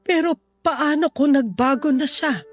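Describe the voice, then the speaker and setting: Slow-speaking, weary old grandmother. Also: sits high, soft background music. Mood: gently pleading. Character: sick, weary, tender grandmother near sixty, forgiving. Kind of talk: Tagalog radio drama acting.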